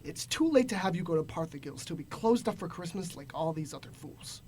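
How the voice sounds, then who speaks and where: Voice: mid-range voice, expressive, a little fast, darkish tone, slight background noise, natural voice. An actor playing a grumpy, miserly old businessman in a radio drama, scornful, curt and barking. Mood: grudging.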